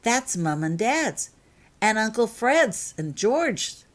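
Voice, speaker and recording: A woman's voice: on the high side, sing-song, slow, voice pushed up. A woman voicing an earnest young girl, hesitant yet eager, in a story reading.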